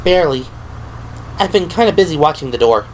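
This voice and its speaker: A man's voice: fairly high pitch, animated, brisk, slight background noise, pitched above the performer's own voice, lightly acted, rough recording. A man voicing a nervous, babbling eighteen-year-old female rookie medic in an audio drama, self-deprecating in manner. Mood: uneasy. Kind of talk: audio drama character acting. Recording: music behind the voice.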